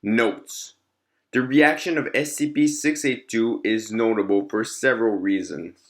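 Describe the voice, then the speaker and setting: Male narrator, mid-range pitch, formal and measured, for scripted documentary-style fictional file readings. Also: steady tone.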